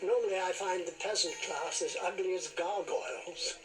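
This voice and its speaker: An actor playing a haughty, sneering aristocrat in a period television drama, pompous and disdainful. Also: male voice, sits high, normal speed, music in the background, natural voice. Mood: haughty contempt.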